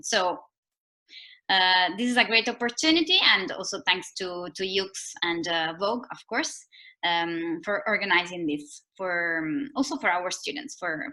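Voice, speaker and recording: A woman moderating an online panel discussion, friendly and full of little uhs. Her voice has a bright, sharp edge at a middle pitch. She speaks with slightly non-native English phrasing. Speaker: webinar moderator. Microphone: video call.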